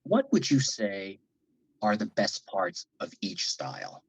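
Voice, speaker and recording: A man hosting an education podcast, asking formal, polite questions in a measured way. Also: lowish voice, big pitch swings, breathy edge, no room sound. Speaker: podcast host. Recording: dull lo-fi sound.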